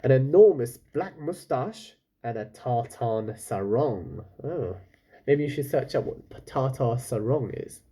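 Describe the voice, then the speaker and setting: A man's voice: mid-range voice, lively intonation, unhurried, warm tone, husky. A man reading a children's story aloud like a teacher, breaking off for casual questions and comments to his listeners.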